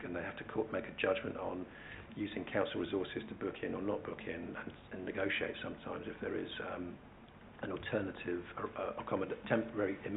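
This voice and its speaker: A man with a very deep, creaky voice gives formal, hesitant answers as a council officer. His delivery is measured and barely varies in pitch, explaining things step by step at a committee meeting. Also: phone-quality sound, a little hiss or hum.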